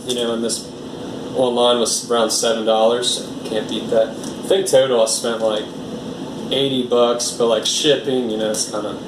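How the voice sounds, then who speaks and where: A man's voice: medium pitch, level tone, normal speed, distant mic, noisy background. Rambling American man in echoey room. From United States. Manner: rambling, filler-heavy, easygoing. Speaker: art vlogger. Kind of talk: casual unboxing chat.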